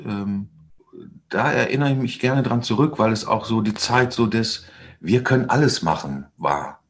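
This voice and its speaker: Man, 50, lower-pitched, musing interview guest who weighs each answer. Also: creaky. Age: 50s. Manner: thoughtful, hesitant with fillers. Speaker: guest, stage actor and director.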